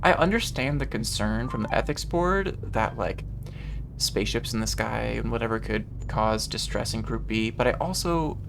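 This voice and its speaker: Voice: mid-range voice, expressive, normal speed, creaky. A host playing a game and commenting casually, reacting expressively with exclamations and reading on-screen text aloud. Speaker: gaming video host. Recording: music behind the voice. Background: game background music.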